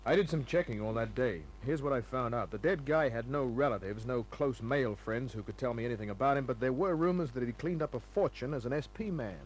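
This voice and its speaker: A man plays a wisecracking private detective in an old radio crime serial. He has a medium-pitched voice and a laconic, sardonic, breezy way of talking. Faint hum sits under the recording. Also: quick pace, hardly any pauses, close to an everyday voice, poor audio. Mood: dry narrating. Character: wisecracking hard-boiled male private detective. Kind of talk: old-time radio acting and first-person narration.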